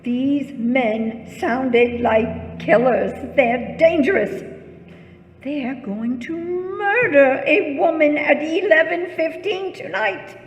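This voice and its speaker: A woman's voice: sits high, takes it slowly, lo-fi sound. A woman playing a wealthy, imperious invalid wife who is anxious and easily flustered, in 1940s radio suspense style. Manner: agitated, haughty, dramatic, stammering when flustered. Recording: echoey stage or hall, long reverb.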